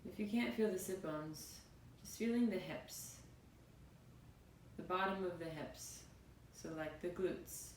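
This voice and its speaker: Low-voiced woman guiding meditation in a roomy space. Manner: soothing, unhurried phrases with pauses. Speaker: yoga and meditation teacher. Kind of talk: guided meditation, instructing. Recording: roomy, distant mic, faint noisy rumble.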